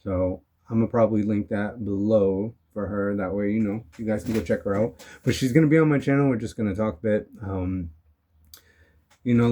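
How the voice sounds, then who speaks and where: A young man talking casually in a rambling motivational vlog, his voice still hoarse from being sick. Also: lowish voice. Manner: relaxed, rambling, frequent "you know" and "um". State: hoarse from recent illness. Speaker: vlogger.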